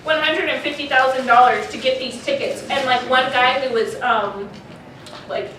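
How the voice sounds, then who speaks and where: A high female voice banters in a joking, teasing way. It is heard from far off in a very reverberant hall with clear background noise. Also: fairly even intonation, moderate pace, fairly bright tone. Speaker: convention panelist. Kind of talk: casual banter. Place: convention panel room. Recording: very echoey, distant, noisy convention hall.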